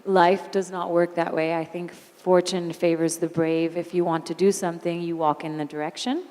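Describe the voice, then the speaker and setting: A woman in her thirties with a raspy voice, speaking candidly as an interview guest and telling animated stories about her life. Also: on the low side, normal speed. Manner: candid, animated storyteller who laughs easily. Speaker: interview guest, singer and actor. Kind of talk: onstage conversation. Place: event hall. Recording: long hall reverb.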